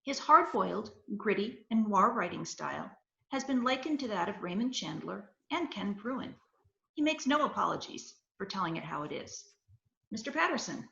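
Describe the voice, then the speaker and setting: A woman's voice: mid-range voice, big pitch swings, moderate pace, creaky, a bit bright. A woman co-hosting a reading event, chatting casually and reading out an author introduction over a video call. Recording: echoey, muffled video-call audio.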